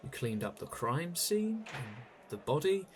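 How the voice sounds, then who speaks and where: A man's voice: on the high side, sing-song, unhurried, a little hiss or hum. An actor playing a bitter, sarcastic detective jailed for a murder he was framed for, accusing and biting, in an audio drama.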